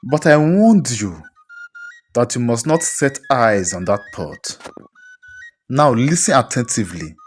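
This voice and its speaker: A woman's voice: very deep voice, big pitch swings, a little slow, pauses often, faint music behind, voice pushed down, heavily acted. A woman voicing a stern male pastor in a storybook tale, grave, commanding and urgent. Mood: urgent warning. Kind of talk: storybook character voice.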